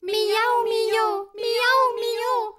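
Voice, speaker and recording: A man voicing two fretful little cats in a storybook reading, in a shrill mewing falsetto. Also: little pitch movement, extremely slow, sharp tone, exaggerated voice. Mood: warning.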